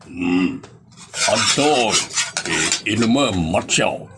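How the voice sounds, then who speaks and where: A man's voice: fairly low pitch, animated, drawn-out words, very noisy. A man playing a reluctant, grumbling fellow in an Ilocano comedy drama, with an Ilocano accent and a hesitant, drawling delivery.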